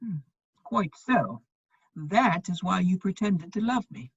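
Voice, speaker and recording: Aloof society gentleman, female performer, husky and highly inflected, dry cutting wit, measured phrasing, for a period comedy reading. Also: animated, no echo, highs cut off, exaggerated voice. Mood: dry, sardonic. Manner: dry, measured, epigrammatic delivery. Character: witty, aloof upper-class gentleman, principled and cutting.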